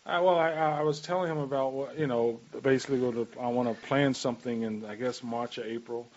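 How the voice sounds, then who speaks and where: A man hosts a casual radio chat at an average pitch. He rambles and circles back over his phrases. The recording is dull and lo-fi. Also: steady tone, a little fast, a little mellow. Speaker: radio talk show host.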